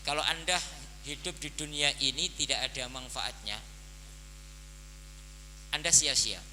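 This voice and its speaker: A man in his forties, head of a boarding school, preaching slowly to his students and often saying "nah, ini" to fill his pauses. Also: medium pitch. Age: 40s. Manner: slow, measured preaching with the repeated filler "nah, ini". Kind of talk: birthday speech and sermon to students. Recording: hall reverb with faint noise.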